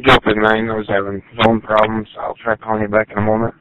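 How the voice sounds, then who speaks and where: A man's voice: fairly low pitch, monotone, fast, no room sound, narrow, tinny audio. A man, a sheriff's deputy, giving brief updates over a police radio.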